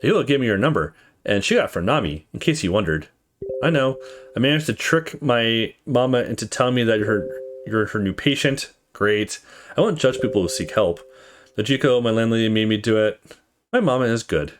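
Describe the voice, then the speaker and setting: A man's voice: medium pitch, lively intonation, a little fast, background noise. A man hosting a let's play, casually commenting and reading visual novel dialogue aloud in an informal, off-the-cuff way. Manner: informal, sweary, reacts off the cuff.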